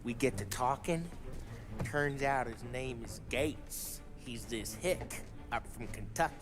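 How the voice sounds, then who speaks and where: A brash, wisecracking gangster, voiced by a man in a crime game. His voice sits in a middle register, and he tells his tales with swagger and a scheming edge. Music plays clearly behind him. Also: medium pitch, slow pace, lightly acted. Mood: boastful. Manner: boastful storyteller, wisecracking. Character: brash talkative male gangster. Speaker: mob crew member. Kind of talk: 1930s gangster game dialogue.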